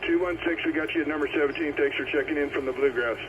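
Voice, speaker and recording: A man's voice: fairly high pitch, racing. A man hosting a radio roll call, calling out and confirming numbers in a procedural, repetitive way over CB radio. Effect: CB radio transmission. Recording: tinny, very noisy CB radio. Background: radio static and noise.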